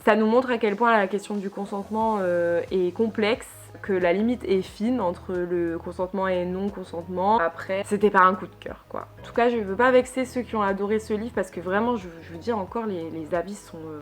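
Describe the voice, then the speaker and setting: A woman's voice: medium pitch, brisk, hardly any pauses, soft background music. A young woman from northern France giving a casual book review in a chatty, hesitant way, full of fillers and restarts. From Lille, northern France. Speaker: book vlogger.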